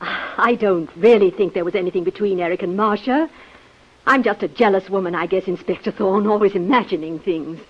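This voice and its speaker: A dull vintage radio recording of an actress playing a jealous society woman in a 1950s melodrama. Her mid-pitched voice is theatrical and fretful, halting anxiously as she talks. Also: slightly rough, lightly acted. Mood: defensive. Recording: muffled old-time radio broadcast recording.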